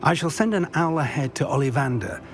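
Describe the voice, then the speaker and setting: A man's voice: on the high side, animated, a little fast, soft background music, lightly acted. An actor playing a grave, concerned older male professor who speaks formally in a video game.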